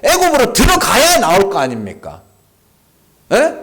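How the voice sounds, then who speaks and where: Male pastor, sermon delivery, lively rising-and-falling voice, emphatic and chatty, keeps tossing questions at the pews. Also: high-pitched, normal speed. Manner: conversational, peppered with rhetorical questions to the congregation; emphatic and animated. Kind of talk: sermon at a Friday prayer meeting. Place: church sanctuary.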